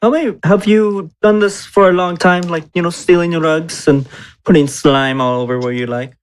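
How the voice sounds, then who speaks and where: A man's voice: on the high side, fairly even intonation, normal speed, smooth. A man playing a tabletop roleplaying game casually, speaking in a playful, mock-polite way.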